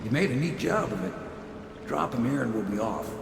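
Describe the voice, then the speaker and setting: An actor playing a humble, weathered older boatman in a video game, with a gravelly voice and a folksy, matter-of-fact manner. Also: mid-range voice, animated, a little fast, noisy background. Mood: wary, musing. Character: humble weathered working boatman, plain-spoken and wry. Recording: loud water and boat ambience.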